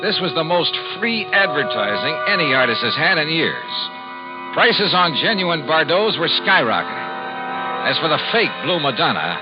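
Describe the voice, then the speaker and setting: A man's voice: on the high side, very animated, normal speed. An American man acting a wry, tough insurance investigator in a golden-age radio detective drama, with clipped delivery. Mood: dry narration. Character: hardboiled, wry male freelance insurance investigator. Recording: dull vintage radio recording, music behind.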